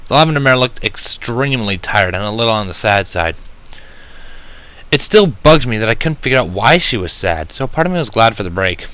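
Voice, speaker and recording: A man reading fan fiction aloud as an expressive first-person narrator. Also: fairly low pitch, fairly quick.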